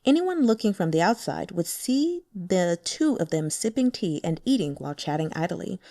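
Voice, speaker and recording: Expressive woman narrating a fantasy novel. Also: on the low side, normal speed, no room sound, clean studio sound. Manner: expressive, voices characters. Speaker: audiobook narrator. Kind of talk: reading a translated fantasy novel aloud.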